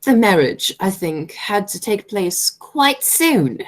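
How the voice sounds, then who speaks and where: A woman's voice: medium pitch, big pitch swings, slow. A woman playing an imperious, snobbish English aunt in a radio comedy, pompous and declamatory, stumbling now and then over the words. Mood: grandly condescending. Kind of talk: stage comedy as amateur radio play.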